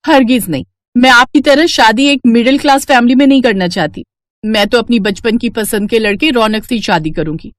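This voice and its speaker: A woman's voice: fairly high pitch, normal speed, no room sound, close to an everyday voice. A woman as a bold ambitious young woman. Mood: defiant, proud. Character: determined, ambitious young woman from a poor family.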